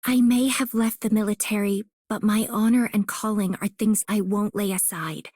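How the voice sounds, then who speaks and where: A woman's voice: mid-range voice, steady tone, normal speed, darkish tone, breathy edge, dry recording, clean studio sound, close to an everyday voice. A woman voicing a youthful, proud and earnest soldierly operator in scripted game lines. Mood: solemn, resolute.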